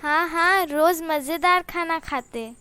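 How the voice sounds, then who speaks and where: A woman's voice: very high-pitched, slow pace, sharp tone, heavily acted. A woman voicing an eager, agreeable female cat in a cartoon story. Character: meek talking cat, female.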